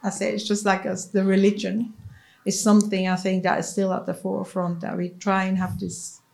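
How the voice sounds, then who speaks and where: A middle-aged woman from Switzerland, a native Swiss German speaker, chatting in an interview as a head teacher, with soft laughs and restarts. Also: medium pitch, little pitch movement, fairly quick, creaky. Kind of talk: casual interview chat.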